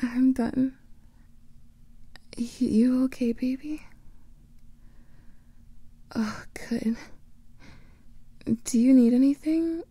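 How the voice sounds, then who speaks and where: A woman voicing an affectionate female vampire lover in a whispered ASMR roleplay, speaking softly and intimately close to the mic. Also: high voice, extremely slow, long pauses, husky, dry recording. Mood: doting. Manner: soft intimate whispering, doting.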